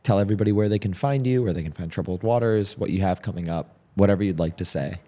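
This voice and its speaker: A man's voice: low-pitched, fast talker, dark tone. A man co-hosting a podcast in a clothing store, mostly giving brief upbeat reactions and wrapping up the talk. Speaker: podcast co-host. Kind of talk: casual chat.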